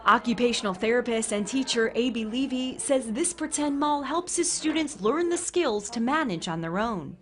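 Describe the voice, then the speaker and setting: American woman news reporter, slightly high voice. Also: animated, normal speed, hardly any pauses, a bit bright. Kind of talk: scripted news narration.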